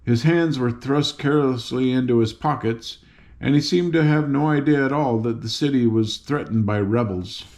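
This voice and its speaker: A man's voice: mid-range voice, normal speed, a little mellow. An older man narrating a children's story as a read-aloud, with informal little asides.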